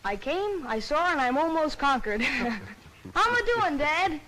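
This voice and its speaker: A wisecracking small-town American schoolboy, with a high, youthful voice. He is brash and playful in 1930s film style. Faint noise runs through the old soundtrack. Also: sing-song, measured pace, heavily acted. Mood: cocky, playful. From Indiana, USA.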